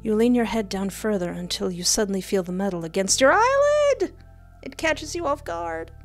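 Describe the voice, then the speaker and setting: A woman's voice: mid-range voice, sing-song, normal speed. A young adult woman hosting a gaming video, switching between reading text aloud and casual commentary on a close mic. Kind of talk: let's play commentary with dramatic read-aloud narration. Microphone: close desk microphone with headset. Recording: music behind.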